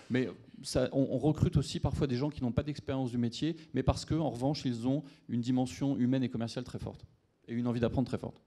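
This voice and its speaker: Mellow-voiced male executive panelist. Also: medium pitch. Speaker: company executive, conference panelist. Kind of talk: panel discussion. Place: conference auditorium stage. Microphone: handheld microphone.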